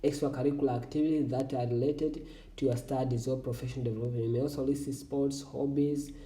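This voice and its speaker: A man from Tanzania whose first language is Swahili, hosting a walkthrough of a scholarship application form in halting, self-correcting English. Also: mid-range voice, fairly even intonation, mellow, reverberant, far from the mic, soft background music. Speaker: scholarship tutorial host. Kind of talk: screen-recording walkthrough.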